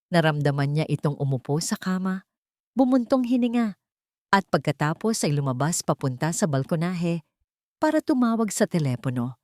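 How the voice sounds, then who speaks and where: Female Filipino storyteller, lowish pitch, very animated delivery, dramatic scripted narration with deliberate pauses. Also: no room sound, studio quality.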